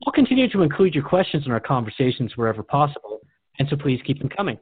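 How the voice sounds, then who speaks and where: A man's voice: medium pitch, sing-song, quick pace, slightly rough, no echo. A man hosting a radio show in a conversational, earnest and reflective way. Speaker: radio host. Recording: dry, phone-like narrow band.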